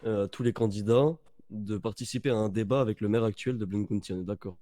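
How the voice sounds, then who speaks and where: A man's voice: on the low side, fast, dark tone. A man speaking as a reporter at a roleplay press conference, asking pointed, probing questions.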